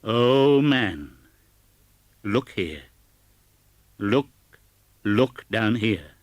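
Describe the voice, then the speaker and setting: A man's voice: fairly low pitch, animated, extremely slow, dry recording, studio quality. A man reading a storybook as a solemn, sorrowful spirit, speaking in a grave, declamatory way. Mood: stern. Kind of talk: classic storybook audiobook character voice.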